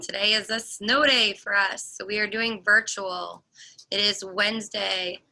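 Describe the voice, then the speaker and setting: A woman's voice: mid-range voice, steady tone, unhurried, vocal fry, sharp tone, poor audio. A woman preschool teacher leading a virtual morning class, explaining things to young children in simple steps. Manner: instructive, addressing a group of small children.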